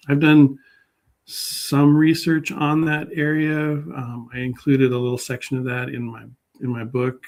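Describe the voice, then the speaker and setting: A man speaks as a guest in a casual interview. His voice is of average pitch. He is unassuming and halting, working out his thoughts as he goes. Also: level tone, gravelly, a little mellow. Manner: halting and unassuming, thinking aloud. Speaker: guest public historian.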